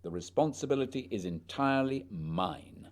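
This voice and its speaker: An actor playing a dutiful, reserved male polar expedition leader, measured, grave and formal, in a radio play. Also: medium pitch, animated, natural voice. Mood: grave, resolute.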